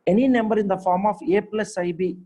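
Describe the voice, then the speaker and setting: A man's voice: sits high, fairly even intonation, quick pace, mellow. A man, teaching maths in a live online class. He repeats himself, checks on his students often and praises their answers.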